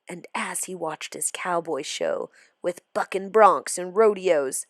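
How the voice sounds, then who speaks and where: A woman reading a children's storybook aloud as expressive scripted narration. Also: on the low side, slow pace, no room sound, clean studio sound. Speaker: storybook reader.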